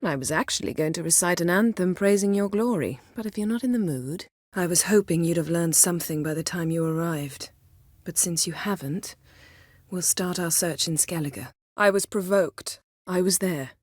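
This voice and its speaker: A woman's voice: on the low side, no room sound, natural voice. A woman voicing a proud, commanding, sardonic sorceress in a fantasy video game, with formal, cool, clipped diction. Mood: curt, irritated. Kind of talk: video game voice acting, scripted dialogue.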